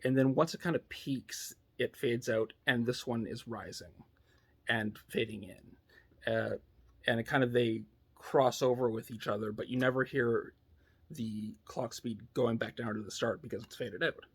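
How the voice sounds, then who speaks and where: A man giving a casual, unscripted technical demo in a laid-back, hesitant way, thinking aloud, with a husky, slightly gravelly voice. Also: mid-range voice, steady tone, a little slow. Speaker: electronics builder demonstrating a device.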